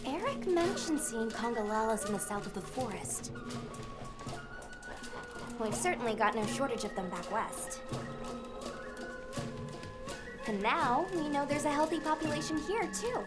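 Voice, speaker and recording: A woman's voice: sits high, sing-song, moderate pace. A woman playing a friendly, earnest young explorer in a video game, polite and inquisitive in the way she talks. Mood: curious. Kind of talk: video game cutscene acting. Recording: music behind the voice. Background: game music.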